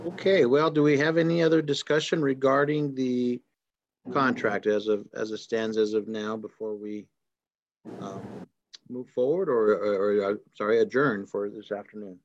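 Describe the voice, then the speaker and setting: A man's voice: mid-range voice, fairly even intonation, moderate pace, a little hiss or hum. A man chairing a county meeting, easygoing and rambling as he moves the business along. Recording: dull, dry close sound.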